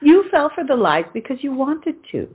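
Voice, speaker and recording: A woman's voice: high-pitched, sing-song, moderate pace, phone-quality sound. A woman playing a blunt, outspoken, loyal friend who scolds theatrically in a period stage comedy. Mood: scolding.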